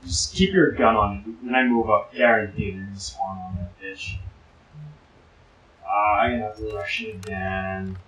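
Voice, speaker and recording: A man co-hosting a let's play, in casual gaming banter, with jokey, rapid, repeated callouts to his partner. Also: fairly low pitch, a bit bright. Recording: roomy echo.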